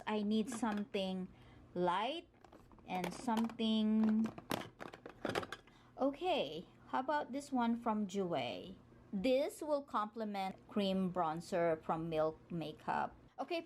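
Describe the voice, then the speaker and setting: A woman's voice: on the high side, a little mellow. Filipino-accented woman, upbeat makeup vlogger who talks to her viewers, faint room noise behind. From Philippines. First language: Tagalog. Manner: chatty, upbeat, addresses viewers directly. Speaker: beauty vlogger. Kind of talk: makeup tutorial chat.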